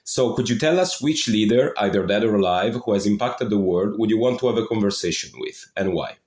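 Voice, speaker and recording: A man's voice: lowish voice, a little fast, darkish tone, reverberant. A man hosting a podcast, reading a formal welcome and then politely asking his guests questions. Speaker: podcast host. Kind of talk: scripted podcast introduction and interview.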